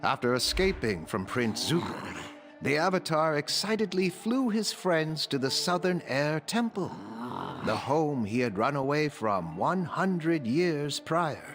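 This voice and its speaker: A man playing a patient, wise older storyteller narrating a video game tale in a measured, solemn way with deliberate emphasis. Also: a little high, sing-song, a bit bright, soft background music. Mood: grave storytelling.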